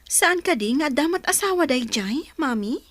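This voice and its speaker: Actress as a high-voiced insistent daughter. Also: female voice, animated, moderate pace, a bit bright, no room sound. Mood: urging. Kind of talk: Filipino radio drama acting.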